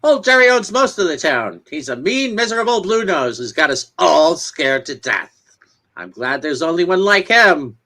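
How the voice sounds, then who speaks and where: Man playing a bright, lively small-town neighbor. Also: fairly high pitch, normal speed, heavily acted. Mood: folksy, opinionated. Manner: folksy, exclamatory. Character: friendly, gossipy small-town male neighbor, a father. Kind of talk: dramatic comic reading.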